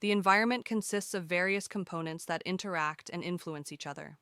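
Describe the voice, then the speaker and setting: A woman reads a scripted passage at a measured, orderly delivery. Her voice is slightly low, very bright and clean, with crisp articulation throughout. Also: no echo, clean studio sound. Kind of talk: scripted read-aloud model answer.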